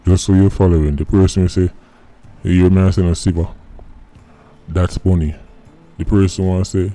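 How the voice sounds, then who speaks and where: A man's voice: low-pitched, level tone, normal speed, warm tone. A Jamaican man giving explanatory commentary as a vlog host, emphatic and often checking in with "right". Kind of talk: explanatory commentary in Patois-mixed English. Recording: music under the voice.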